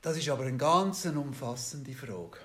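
A man with a Swiss German accent, a guest in an interview, explaining at length in a halting way, with many fillers and restarts, checking in with his listeners as he goes. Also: medium pitch, animated, bright, forceful tone. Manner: ends points with a questioning "oder?".

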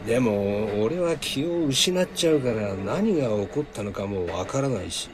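An actor playing a weary male detective in a hardboiled-style audio drama, speaking in gruff, casual, resigned words. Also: medium pitch, lively intonation, normal speed, noisy background, natural voice. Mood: baffled, reluctant. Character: weary male detective who doubles as a spirit medium.

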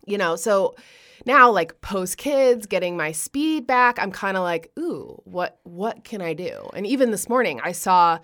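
A woman's voice: medium pitch, animated, measured pace, fairly bright tone. A woman hosting a podcast in casual chat, who talks eagerly and says "like" a lot. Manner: enthusiastic, lots of "like". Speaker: podcast host.

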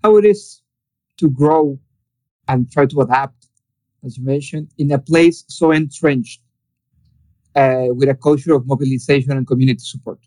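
A man's voice: mid-range voice, moderate pace, pauses often, warm tone, no room sound, low-quality recording. Host: formal man, reads his intro, dry close sound. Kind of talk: hosting an interview series.

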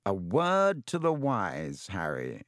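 A vain, jovial teacher booms his words slowly and grandly, pleased with himself, as a man voices him in an audiobook. He speaks higher than a plain man's voice, with a lofty, paternal air. The sound is dry and close. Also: big pitch swings, a bit bright, no echo, heavily acted. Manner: booming, jovial, self-satisfied, paternal. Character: vain, jovial, pompous grown male teacher. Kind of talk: audiobook character voice.

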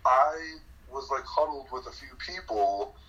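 A young man casually recounting his game in an interview, talking loudly and excitedly with lots of fillers and laughs. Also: mid-range voice, unhurried, highs cut off, slight background noise. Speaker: eliminated contestant guest.

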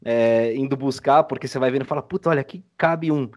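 A man's voice: mid-range voice, fast, a little mellow, lo-fi sound. A Brazilian man explaining things casually as a podcast guest. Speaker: podcast guest, audio drama maker.

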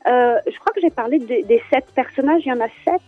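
High-pitched animated French woman. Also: fast talker, no echo, phone-quality sound, soft background music. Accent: French with Alsatian touch. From France. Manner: animated, laughs often, many fillers. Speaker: guest children's singer. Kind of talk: casual radio interview chat.

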